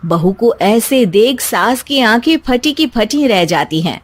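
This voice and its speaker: A woman's voice: a little high, animated, a little slow. A woman narrating a scripted cartoon story as its storyteller.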